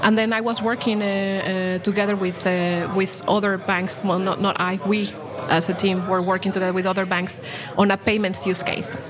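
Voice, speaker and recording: A woman's voice: mid-range voice, level tone, moderate pace, few pauses, crowd noise. A woman in her forties from Latin America, a bank program director speaking as an interview guest, passionate and full of restarts and fillers. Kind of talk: podcast interview.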